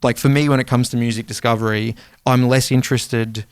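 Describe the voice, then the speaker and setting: Guy co-hosting a podcast, smooth clear voice, rambly casual chat, dead dry close mic. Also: fairly even intonation, a little fast, dry recording. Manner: rambling, conversational, drops to a low mumble at times. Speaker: podcast co-host.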